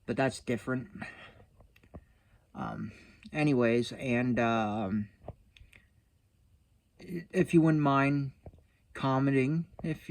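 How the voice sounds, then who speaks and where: A man's voice: medium pitch, a little mellow. A man chatting casually to his viewers as a channel host, rambling along in a folksy, self-deprecating way. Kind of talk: casual vlog chat to viewers.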